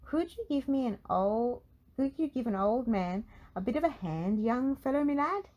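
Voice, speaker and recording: Woman doing a folksy old man, lifted pitch. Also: animated, moderate pace, warm tone, dull audio. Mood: calling out. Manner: calling out, folksy. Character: old male woodchopper, folksy and polite. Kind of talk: children's storybook character voice.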